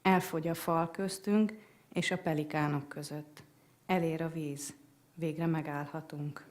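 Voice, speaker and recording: A woman with a Hungarian accent, speaking in a somewhat low voice. She is a visiting writer who talks about her stay and then reads her own poem at a library event, animated and laughing now and then. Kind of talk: poetry reading. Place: public library event room.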